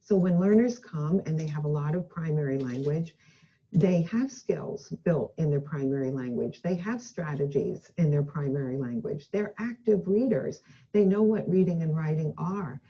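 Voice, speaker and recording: A woman's voice: sits low, expressive, moderate pace, muffled recording. A woman education expert giving an earnest, emphatic recorded talk, speaking indoors in a video clip.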